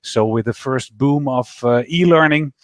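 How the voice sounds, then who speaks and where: A middle-aged man with a Dutch accent, speaking as an interview guest over a video call, measured with frequent pauses. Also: mid-range voice, no echo. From Netherlands. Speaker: guest, startup founder. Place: office.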